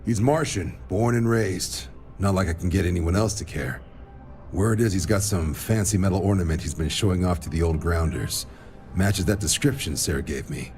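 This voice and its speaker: An actor playing an easygoing, wry military recruiter officer in a video game, talking in a casual, colloquial way. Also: male voice, low-pitched, moderate pace, darkish tone, soft background music, natural voice. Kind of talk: video game cutscene acting.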